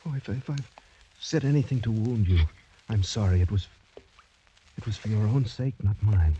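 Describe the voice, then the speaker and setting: An actor playing a gallant, doomed young French gentleman in old radio drama style, formal and theatrical, halting with feeling, on a muffled vintage recording. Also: fairly low pitch, slight background noise, natural voice. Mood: gentle, sorrowful. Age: young adult. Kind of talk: 1940s-50s radio drama acting.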